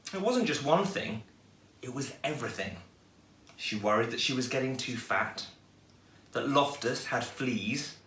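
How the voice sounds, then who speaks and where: Man, children's storyteller, highly varied intonation, expressive delivery, echoey, distant microphone. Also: slight background noise.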